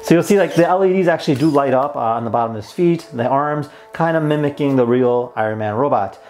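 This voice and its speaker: A man's voice: mid-range voice, quick pace, darkish tone. Young man demonstrating gadgets over faint music. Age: young adult. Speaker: tech toy reviewer and host. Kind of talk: casual product demonstration.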